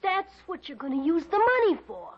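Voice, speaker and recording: An actress playing a suspicious, sharp-tongued wife in an old-time radio drama, blunt, accusing and sarcastic. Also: female voice, very high voice, very animated, normal speed. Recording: muffled vintage radio recording.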